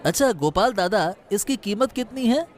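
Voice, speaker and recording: A man's voice: sits high, expressive, normal speed, a faint crowd. A man voicing a well-off village customer in a cartoon story, talking in a familiar, insistent, bargaining way. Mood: cheerful, curious. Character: haggling, well-off village male fish customer. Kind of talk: Hindi cartoon moral story dialogue.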